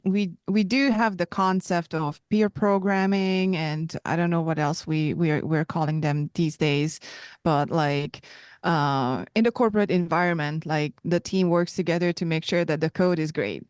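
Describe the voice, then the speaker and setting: A woman from Hungary with a Hungarian accent, co-hosting a casual podcast conversation, thoughtful and pausing to search for words. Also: low-pitched, steady tone, darkish tone, smooth, no echo, clean studio sound. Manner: thoughtful, restarts and hedges while searching for words. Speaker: podcast co-host.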